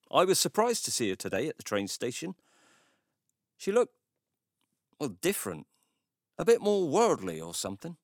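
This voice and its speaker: An actor playing a soft-hearted, indignant middle-aged man, confiding his story in a wry, colloquial audio drama monologue. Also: lowish voice, no echo, clean studio sound. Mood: wistful, sympathetic. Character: warm-hearted, indignant Cornish neighbour, a doting husband.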